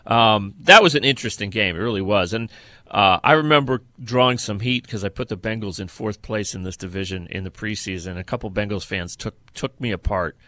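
A man's voice: lowish voice, fairly quick, bright, forceful tone, dry recording. A man co-hosting a sports podcast, giving blunt, opinionated takes on football in a conversational style.

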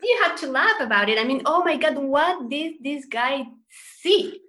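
Woman from chile guesting on a podcast, fairly high voice, lots of um and uh. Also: animated, moderate pace, pushed and bright. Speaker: guest journalist. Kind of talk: casual chat.

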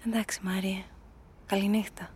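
Woman playing a cool seductress narrator, fairly even-toned. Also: medium pitch, very noisy, natural voice. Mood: soft, guarded. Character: seductive, cool, calculating woman narrating her own hunt. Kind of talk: first-person radio drama narration.

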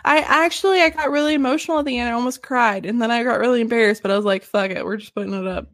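Giggly high-voiced Southern woman. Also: racing. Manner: giggly, animated, laughs often. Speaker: podcast co-host. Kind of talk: casual podcast chat, livestreamed.

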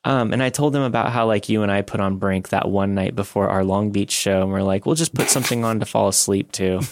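A man's voice: on the low side, a little fast, no room sound. A man co-hosting a podcast, chatting casually and telling personal anecdotes with chatty enthusiasm. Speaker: podcast co-host.

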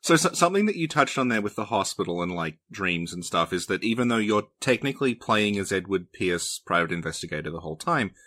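Podcast co-host man with a low, bright voice; casual and agreeable. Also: very animated, fast, few pauses. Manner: agreeable, backchannels a lot.

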